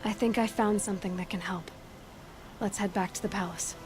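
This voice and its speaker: A woman voicing a determined, compassionate young huntress in a video game, musing in a measured way. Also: medium pitch, steady tone, close to an everyday voice, rough recording. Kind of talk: video game cutscene acting. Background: background music.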